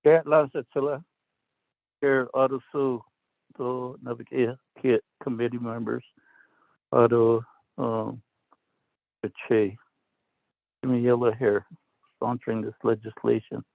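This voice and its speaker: A man questions an official in a slow, deliberate and stern way. His voice comes through dry and tinny, as over a phone line. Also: medium pitch, level tone, vocal fry, no echo. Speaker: council delegate. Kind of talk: formal committee questioning.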